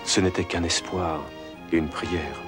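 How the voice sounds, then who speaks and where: An actor playing a calm, reassuring husband on a journey in a cartoon. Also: male voice, low-pitched, a little slow, over music. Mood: tender, reassuring. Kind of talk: French cartoon dub acting.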